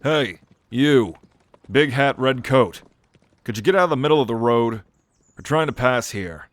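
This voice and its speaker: A man's voice: mid-range voice, measured pace, no room sound, natural voice. A man playing a cocky, irritable male storm god with a blunt, casual way of talking in a fantasy audio roleplay. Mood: wary, irritated.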